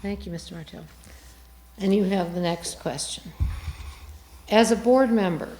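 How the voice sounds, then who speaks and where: A woman serves as forum moderator, reading questions in a formal way. Her pitch is average for a woman, and the room adds a noticeable echo around her voice. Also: unhurried, dark tone. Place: public access TV studio. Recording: roomy studio echo.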